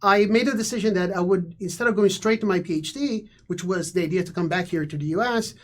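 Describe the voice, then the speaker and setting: A man's voice: high voice, fast. A man whose first language is Italian, a guest academic in casual conversation, talking thoughtfully with many repeated words and fillers. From Brazil.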